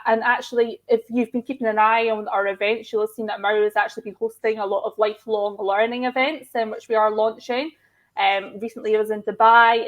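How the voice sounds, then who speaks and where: A woman at mid pitch gives a fluent, professional account as an admissions head. She often opens her phrases with "um", and her voice comes through a video call. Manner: warm, fluent, with frequent "um". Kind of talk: professional panel talk.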